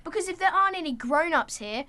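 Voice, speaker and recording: Woman as picked-on schoolboy, insistent, plaintive, extremely high voice, lively intonation, radio drama. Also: quick pace, heavily acted. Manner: earnest, plaintive. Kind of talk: radio play acting.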